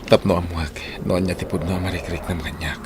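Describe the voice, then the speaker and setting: A man's voice: low voice, flat delivery, quick pace, background noise. A man playing a devoted, protective young suitor in a radio drama. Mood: earnest, teasing. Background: loud music.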